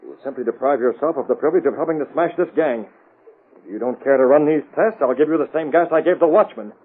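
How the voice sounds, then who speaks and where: Man playing a fast, husky masked vigilante. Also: fairly high pitch, natural voice. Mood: commanding. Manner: clipped, commanding. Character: masked male vigilante, commanding, cool, posing as a crook. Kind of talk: 1930s-40s radio drama acting. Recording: muffled old radio broadcast recording.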